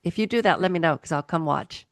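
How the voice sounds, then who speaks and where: Lady podcast host w a cold, gravelly lowish voice, chatty and rambly. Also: fast talker, dry recording. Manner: rambling, self-interrupting. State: has a cold. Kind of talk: casual chat.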